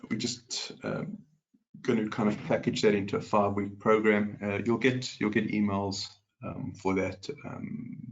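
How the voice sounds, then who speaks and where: A man's voice: on the low side, dull audio. Nasal, congested man hosting a webinar. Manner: chatty, greets viewers by name, frequent ums. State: congested from a cold. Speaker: market specialist hosting a webinar. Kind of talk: live webinar market commentary.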